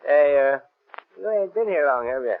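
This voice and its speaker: An American actor playing a casual, evasive stranger in a 1930s radio drama. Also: male voice, a little high, lively intonation, normal speed. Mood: casual, probing. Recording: tinny 1930s radio recording.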